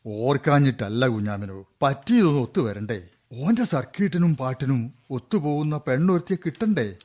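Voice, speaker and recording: A man's voice: medium pitch, animated, moderate pace, a little mellow, lightly acted. Mild Malabar village father, played by a man. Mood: mild, reassuring. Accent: Malabar Malayalam. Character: easygoing older village father of a grown son. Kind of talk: radio drama dialogue.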